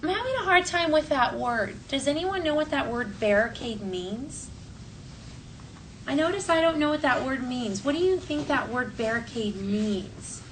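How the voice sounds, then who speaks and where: A woman teacher reading a story aloud to children in an expressive, clearly phrased way and talking to the class. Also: high-pitched, normal speed. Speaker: elementary school teacher. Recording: distant mic, faint classroom noise.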